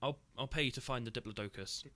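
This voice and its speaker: Bossy, money-minded partner, male actor: cutting, forceful tone for comedic audio drama. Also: mid-range voice, fast, lightly acted. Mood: bossy, annoyed. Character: bossy, possessive, money-minded business owner.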